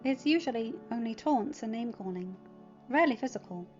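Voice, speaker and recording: A woman voicing a bullied teenage boy, soft-spoken, hesitant and vulnerable, in a story reading. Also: fairly high pitch, lively intonation, fairly quick, muffled recording, soft background music, natural voice. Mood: hurt, subdued.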